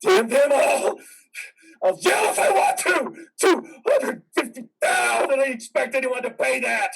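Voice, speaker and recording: Casting: male actor for a traumatized soldier, husky, volatile and brooding, slow delivery with huge pitch swings, stage drama. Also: lively intonation, recorded from a distance, slight background noise, low-quality recording. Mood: anguished rage. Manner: halting, brooding speech breaking into anguished shouts. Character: traumatized male soldier husband, anguished and explosive. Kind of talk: stage-style dramatic acting.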